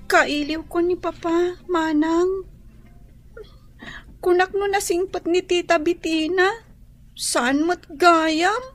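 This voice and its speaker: A woman's voice: very high voice, steady tone, slow pace, long pauses, faint music behind. A woman with an Ilocano accent playing a timid, mistreated schoolgirl in a radio drama. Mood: sad, pleading. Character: timid, mistreated schoolgirl daughter, trusting and fearful.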